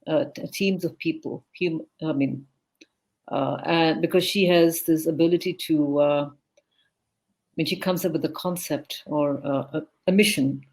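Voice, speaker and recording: An event host giving a rambling, effusive introduction to a speaker over a video call, with many fillers. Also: mid-range voice. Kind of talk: live online event introduction.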